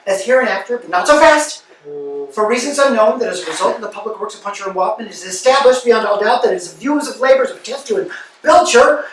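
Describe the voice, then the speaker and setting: A man's voice: high voice, animated, fast talker, slight background noise. A man playing a ragged old male servant, pouring out a breathless, tumbling monologue in a staged play reading. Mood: frantic rambling. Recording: echoey room, distant mic.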